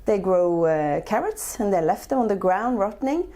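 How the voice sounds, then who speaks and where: A woman's voice: mid-range voice, expressive, normal speed, mellow. A woman in her forties from Norway, speaking English with a Norwegian accent, answering interview questions with frequent hesitations. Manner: hesitant, many filler sounds. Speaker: guest, chef and entrepreneur.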